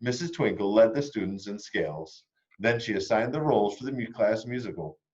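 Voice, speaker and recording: A man reading a children's storybook aloud in an expressive narrating style. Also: fairly low pitch, brisk, dull audio. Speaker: storybook reader at an elementary school read-aloud.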